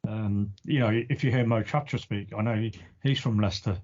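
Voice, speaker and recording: Midlands english guy, relaxed podcast chat, ums a lot, kinda muffled audio. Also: lowish voice, brisk. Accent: neutral English Midlands. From East Midlands, England. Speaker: podcast co-host. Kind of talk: casual chat.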